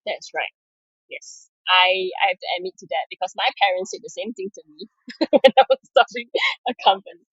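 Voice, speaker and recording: Husky-voiced woman from Singapore, chatty. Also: mid-range voice, brisk, no room sound, dull audio. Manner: chatty, laughs often, many fillers. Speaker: podcast guest, product manager. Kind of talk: casual interview chat.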